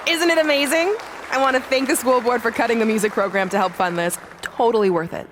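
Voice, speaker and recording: A woman's voice: on the high side, fast, a crowd in the background, background noise, lightly acted. An actress playing a smug, boastful female wrestler in a video game, condescending and mocking. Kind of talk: video game cutscene acting.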